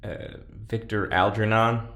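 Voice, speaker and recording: A man playing a weary, wry patient in an audio drama, talking in a hesitant, halting way. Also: fairly low pitch, fairly even intonation, a bit bright, roomy sound. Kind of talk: naturalistic audio drama acting.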